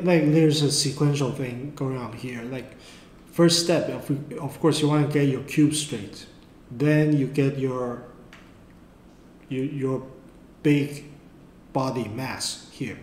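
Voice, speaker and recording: Slow-talking man, native Mandarin speaker. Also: medium pitch, darkish tone. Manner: halting, many fillers and restarts. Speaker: art teacher. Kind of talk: unscripted drawing lesson. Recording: roomy echo, faint background noise.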